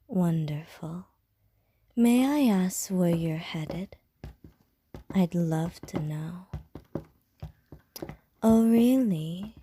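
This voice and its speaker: A woman's voice: fairly low pitch, warm tone, husky, no echo, clean studio sound. A woman voicing a flirtatious, predatory female vampire in an ASMR roleplay, teasing in sly, often whispered innuendo.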